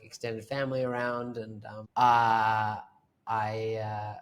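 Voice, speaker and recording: Rambling man in a casual chat. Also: mid-range voice. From Australia. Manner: rambling, many fillers and "like". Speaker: guest, painter. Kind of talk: casual interview talk.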